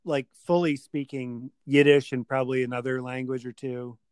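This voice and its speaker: A man's voice: mid-range voice, flat delivery, moderate pace, smooth, no echo, studio quality. A man hosting a casual podcast chat with a friend, tired after a long day and rambling with many fillers.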